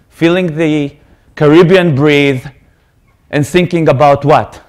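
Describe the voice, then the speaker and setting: Israeli man in his thirties, slightly higher voice, earnest conference presenter at a podium mic. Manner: earnest, explanatory, repeats words when restarting. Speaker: conference speaker. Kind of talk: technical conference talk. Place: lecture hall stage. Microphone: podium microphone.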